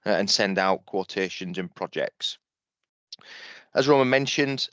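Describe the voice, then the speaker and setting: A West Yorkshire man leads a webinar walkthrough of a software tool, chatting along with plenty of ums and repeated words. His voice sits low and smooth. The recording is dry and a bit dull, like online call audio. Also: dry recording. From West Yorkshire, England. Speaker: head of sales presenting software.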